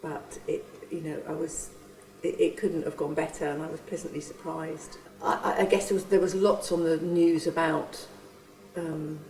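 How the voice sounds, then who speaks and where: A woman earnestly recounting her experience of hosting, pausing and restarting as she goes. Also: low-pitched, level tone, mellow. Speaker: church member and refugee host. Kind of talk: reflective testimony. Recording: roomy, from a distance.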